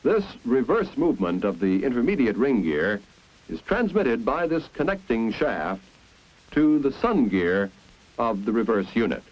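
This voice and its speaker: An American man reading scripted 1950s instructional film narration in a clear, deliberate, explanatory manner. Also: mid-range voice, very animated. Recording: dull, band-limited 1950s film soundtrack.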